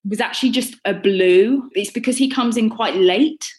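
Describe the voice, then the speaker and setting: A woman's voice: fairly high pitch, normal speed, a little mellow. A woman answering questions about narrating audiobooks in a chatty way, laughing often and restarting her sentences. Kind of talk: casual Q&A chat.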